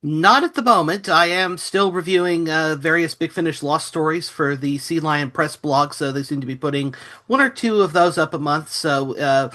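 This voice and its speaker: A man's voice: a little high, normal speed, bright, forceful tone. A man speaking casually as a guest reviewer on a podcast. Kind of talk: casual chat.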